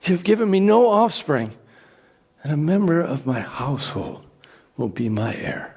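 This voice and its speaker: A man's voice: on the high side, very animated, moderate pace, a little mellow, husky, in a large hall, pitched above the performer's own voice, heavily acted. A man voicing a childless biblical patriarch who pleads and questions earnestly in a dramatic scripture reading. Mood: anguished pleading.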